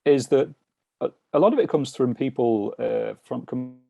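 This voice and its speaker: A man's voice: medium pitch, normal speed, vocal fry, warm tone, no echo, muffled recording. A middle-aged man with a British accent, a financial mentor talking casually and hesitantly, with many ums and restarts. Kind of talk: casual chat on a video call.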